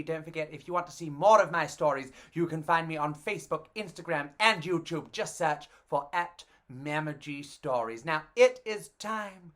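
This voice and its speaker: Slow, theatrical children's storyteller with animated delivery. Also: mid-range voice. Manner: theatrical, expressive.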